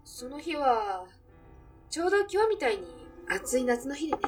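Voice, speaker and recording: A woman plays a friendly, gently teasing café barmaid in a radio drama. Her voice is high and animated, with feminine phrasing. It is recorded slightly away from the microphone. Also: moderate pace, far from the mic, faint music behind. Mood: bashful, reminiscing. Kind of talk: Japanese radio drama acting.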